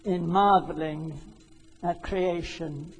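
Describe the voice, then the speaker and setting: A man from the audience asks a long, wandering question in a high, gravelly, husky voice. He speaks slowly and hesitantly, and a long hall reverb trails his words. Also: steady tone. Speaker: audience member asking a question. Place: large hall.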